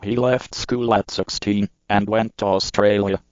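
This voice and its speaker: A man reading a scripted news feature as reporter, with steady, measured delivery. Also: fairly low pitch, clean tone, dry recording, dull audio.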